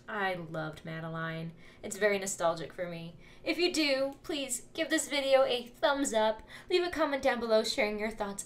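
A woman's voice: fairly high pitch, slight background noise. A woman with an enthusiastic, friendly manner doing an upbeat channel intro as a let's play host.

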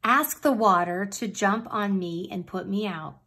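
A woman's voice: medium pitch, measured pace, sharp tone, slightly rough, full character voice. Brief: grateful fire, plain and firm, woman reading, storybook. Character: grateful fire, male, steady.

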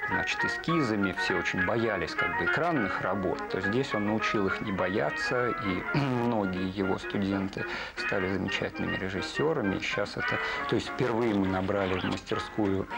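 Low, gravelly middle-aged man over loud music. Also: low-quality recording. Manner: halting, hesitant phrasing. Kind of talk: documentary interview.

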